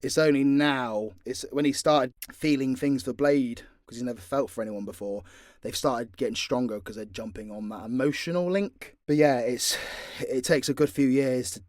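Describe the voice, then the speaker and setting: A man's voice: mid-range voice, moderate pace, darkish tone, dry recording, clean studio sound. A British man in a casual, unscripted Q&A as an audio creator, talking bluntly and swearing freely. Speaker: audio drama creator answering fan questions.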